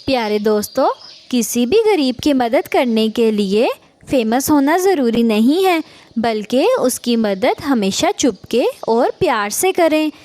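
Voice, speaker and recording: A woman's voice: on the high side, very animated, measured pace, a little mellow, dry recording. A woman narrating a scripted children's story.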